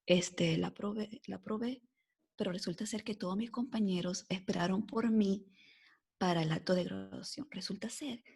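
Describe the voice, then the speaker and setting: Gravelly Venezuelan woman telling her story. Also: medium pitch, normal speed. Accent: mixed Venezuelan Spanish, softened by years in the US. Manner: animated storyteller, frequent fillers like "este" and "o sea". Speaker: guest. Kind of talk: casual storytelling interview.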